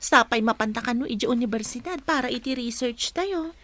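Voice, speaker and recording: A woman playing a spirited young student teacher in a radio drama, teasing and animated, with a Filipino accent. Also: a little high, a little fast, dry recording, natural voice. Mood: chatty, polite. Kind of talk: Ilocano radio drama acting.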